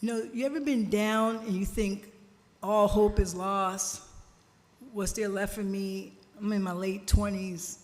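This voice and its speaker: A woman preaching a sermon at a church service, slow and emphatic, drawing responses from the congregation, her family from Jamaica. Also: mid-range voice, fairly even intonation. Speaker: guest speaker at a church service. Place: church sanctuary. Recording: church hall reverb.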